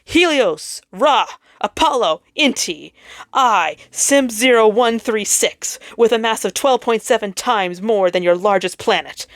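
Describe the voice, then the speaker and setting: A woman's voice: mid-range voice, lively intonation, a little slow, no room sound, studio quality, pitched above the performer's own voice. A woman voicing a defiant rebel planet in a dramatic story reading, declamatory and accusing. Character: defiant rogue planets rebelling against the stars.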